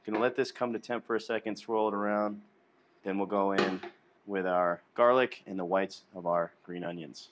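A man's voice: fairly low pitch, normal speed, noisy background. Young man chatting through a cooking demo. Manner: relaxed, chatty instructional talk to viewers. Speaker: cooking vlogger. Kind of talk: casual cooking demonstration. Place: small indoor studio kitchen set. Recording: clear background music under voice. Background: music playing under the voice.

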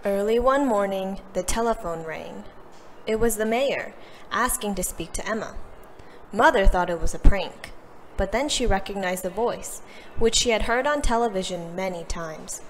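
A woman's voice: mid-range voice, moderate pace, in a large hall. A woman reads a children's picture book aloud expressively, acting out the characters' lines. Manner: animated delivery, acting out the characters' quoted lines. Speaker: storybook reader. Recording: clearly noisy background.

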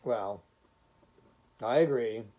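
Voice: mid-range voice, drawn-out words, dark tone. A reader voicing a stern, exacting unseen judge who speaks in a formal, dismissive way. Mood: cool, conceding.

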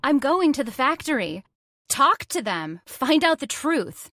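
A woman's voice: sits high, sing-song, a little slow, pushed and bright, no room sound, studio quality, heavily acted. A woman playing a distraught, insistent young heiress, dramatic and disbelieving, in an old-time style radio horror drama. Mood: defiant. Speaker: actor in audio horror drama.

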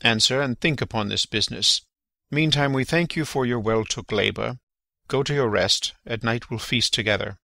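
Guy playing a king in shakespeare, formal n stately, bit of fry, dry close sound. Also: mid-range voice, normal speed, sharp tone, dry recording. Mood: gracious, regal. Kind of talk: classical Shakespearean stage acting, dramatic reading.

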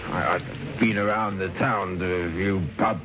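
A man's voice: deep voice, fairly even intonation, measured pace. An actor playing a tipsy, gossipy married man with a rambling, chummy manner in an old radio drama. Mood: tipsy, confiding. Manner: rambling, hesitant, chuckling drunk talk. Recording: muffled old radio recording, music behind.

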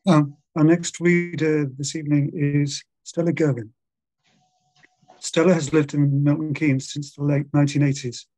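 A man's voice: a little high, a little mellow, slightly rough, no room sound, low-quality recording. A man hosting a literary event over a video call, introducing and thanking readers. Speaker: event host. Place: indoors at home.

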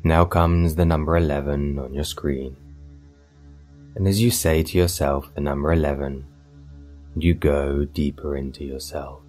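A man narrating a scripted guided meditation in a soothing, hypnotic, measured way with long pauses. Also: rumbling low, steady tone. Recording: voice over meditation music. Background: soft meditation music.

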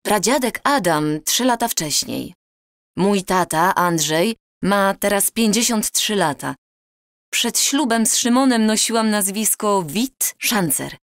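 A woman playing an earnest married time traveler in an audio drama, speaking deliberately. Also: medium pitch, lively intonation, dry recording, clean studio sound. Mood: solemn, reassuring.

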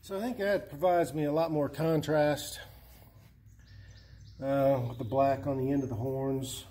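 A man in his fifties casually narrating hands-on garage work, with halting, hesitant phrasing. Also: medium pitch, steady tone, noisy background. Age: 50s. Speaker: DIY hobbyist host. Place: indoor garage workshop.

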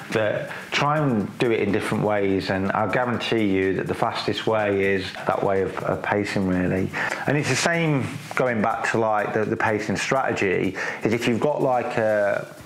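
A man in his forties, a cycling coach, explaining technique to camera in a loose, chatty way full of fillers and restarts, seated in a small room. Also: fairly low pitch. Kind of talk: casual explanatory talk to camera. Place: small room with grey wall, seated in chair. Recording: echoey room.

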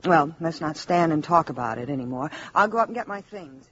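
An actress playing a polite, uneasy, gently firm mother in 1950s radio drama style. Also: deep voice, expressive, a little fast, faint music behind. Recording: dull 1950s radio recording.

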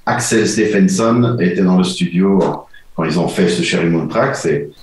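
A deep-voiced man in his forties talks on a video call from his home studio. He is relaxed and halting, often repeating words. The audio sounds roomy. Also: a little hiss or hum. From Belgium. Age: 40s. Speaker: DJ and producer guest. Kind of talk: casual interview on a video call. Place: home music studio, indoors.

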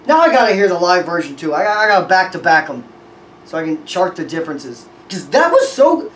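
A young man hosting a music reaction, talking casually with excited, profane, rambling praise. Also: high-pitched, far from the mic. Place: small room with red backdrop. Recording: echoey room, voice a bit off-mic, faint noise.

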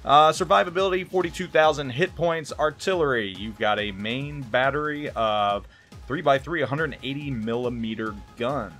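A man's voice: mid-range voice, moderate pace, a bit bright, soft background music. A man giving casual gameplay commentary as a game reviewer, rambling and hesitant, often repeating words.

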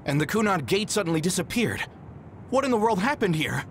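Formal young game soldier, lively high voice, some background noise. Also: male voice, a little fast. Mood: urgent, puzzled. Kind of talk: anime game dub acting.

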